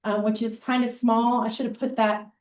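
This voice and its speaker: A woman with a big voice presenting a teaching workshop as a librarian and facilitator, talking in a relaxed, conversational way with many ums. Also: fairly high pitch, moderate pace, a little hiss or hum. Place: meeting room or classroom. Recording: roomy echo, mic far off.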